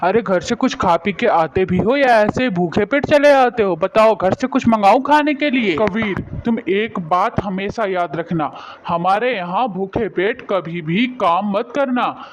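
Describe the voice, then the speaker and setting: A man's voice: sits high, unhurried, slight background noise. A man playing a generous, caring rich village employer in a cartoon moral story, talking in a fatherly, solicitous way. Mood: caring, welcoming. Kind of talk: Hindi animated moral story dialogue.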